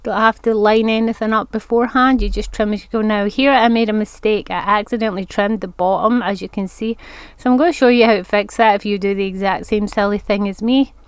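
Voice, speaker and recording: A woman's voice: medium pitch, steady tone, a little fast, clear, resonant tone, no echo. A woman with a congested voice from hay fever, chatting her way through a step-by-step crafting tutorial. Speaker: craft vlogger.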